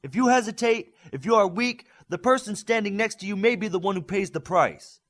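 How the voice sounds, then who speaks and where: A man voicing a proud, fierce Greek warrior superhero and passionate leader in an audiobook, speaking with forceful, impassioned oratory. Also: high-pitched, lively intonation, fairly quick, voice pushed up. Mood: fierce, rallying. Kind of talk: audiobook character acting.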